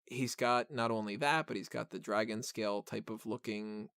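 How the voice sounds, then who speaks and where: A man's voice: on the low side, normal speed, a bit bright, smooth, no room sound. A man hosting a podcast and talking casually about films in a rambling, informal, mildly profane fan style. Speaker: podcast host reviewing films. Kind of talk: casual film discussion.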